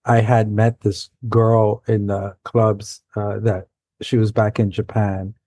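A man from New York City whose first language is Spanish, telling stories as a guest in a relaxed, rambling way with frequent self-corrections. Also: lowish voice, slow pace, no echo. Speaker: guest, science fiction author. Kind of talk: casual storytelling in an interview.

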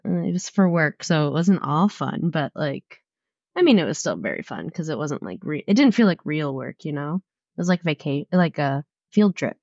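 A woman's voice: sits low, lively intonation, moderate pace, creaky, a little mellow, dry recording. A young woman in her twenties co-hosting a casual chat podcast, a bit nasal from a cold, giggling and rambling with lots of "like"s. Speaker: podcast co-host. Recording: slightly muffled lo-fi audio.

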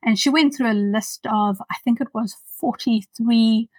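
A woman in her fifties with a South African accent, a podcast guest talking thoughtfully with many pauses. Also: a little high, no room sound, far from the mic. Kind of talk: casual interview.

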